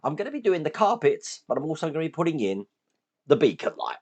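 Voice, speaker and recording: A man hosting a model-building channel, his voice hoarse and deeper than usual from shouting, chatting casually and thinking aloud as he works with his hands. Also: wildly swooping pitch, quick pace. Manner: chatty, self-deprecating, thinks aloud while working.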